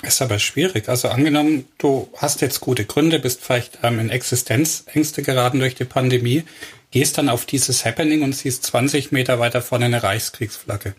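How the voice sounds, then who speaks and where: Husky-voiced man pressing with questions. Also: mid-range voice, moderate pace. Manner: direct, challenging questions. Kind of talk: casual discussion.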